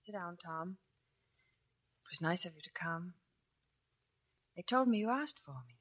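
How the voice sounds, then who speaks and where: Wounded sweetheart: low voice, gentle, halting, wistfully tender, dull vintage radio drama. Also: female voice, animated. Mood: gentle, weak. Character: tender, wounded woman bidding a sailor farewell. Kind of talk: 1940s radio drama acting. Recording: dull, distant 1940s radio broadcast.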